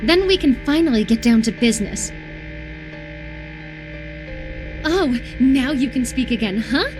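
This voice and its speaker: Horror game role: obsessive young woman captor, high-pitched, sweetly coaxing yet volatile, music underneath. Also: expressive, moderate pace, rough recording. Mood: menacing, soothing. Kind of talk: dramatic visual novel horror game acting.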